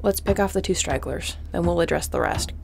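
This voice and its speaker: A woman acts out a wanted female starship mercenary in an audio roleplay, with a very creaky, gravel-edged voice. She is terse, wry and commanding, yet protective. A faint ship noise sits behind her. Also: lowish voice, brisk, a little mellow. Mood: hushed, tactical. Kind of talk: intimate sci-fi audio roleplay acting.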